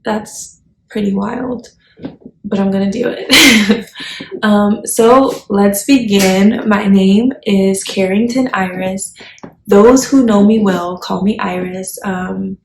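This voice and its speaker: A woman's voice: mid-range voice, vocal fry. A woman in her twenties from Texas with an American accent, talking in a chatty, slangy, conversational way as she shares personal stories with viewers. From Texas, United States. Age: 20s. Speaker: YouTube vlogger. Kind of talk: casual personal storytelling to viewers. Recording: echoey room, faint background noise.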